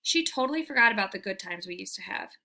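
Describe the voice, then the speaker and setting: A woman's voice: mid-range voice, lively intonation, quick pace, pushed and bright. A woman voicing a cynical, irritable female golden retriever in a children's storybook, scoffing and snide. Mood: bitter.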